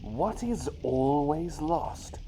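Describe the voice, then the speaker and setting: A man's voice: on the high side, extremely slow, heavily acted. A man voicing a playful talking bird doctor in an ASMR roleplay, posing riddles in a teasing, suspenseful way and repeating his phrases. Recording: clear steady background noise.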